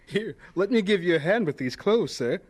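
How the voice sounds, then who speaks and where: A man's voice: high-pitched, lively intonation, moderate pace. An actor in a radio drama playing a devout, earnest young man who speaks politely and with determination. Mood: polite, gentle.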